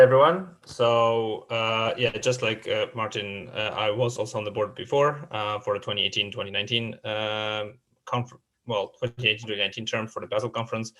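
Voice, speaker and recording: A man's voice: on the low side, fairly bright tone. A man introducing himself as a board candidate, hesitant and full of fillers.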